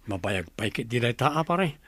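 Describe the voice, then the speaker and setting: A man's voice: mid-range voice, big pitch swings, moderate pace, a bit bright, a little hiss or hum. A man playing a modest, poor young lover in an Ilocano audio drama, earnest and familiar in tone. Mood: modest, bantering. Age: young adult. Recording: music under the voice. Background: music.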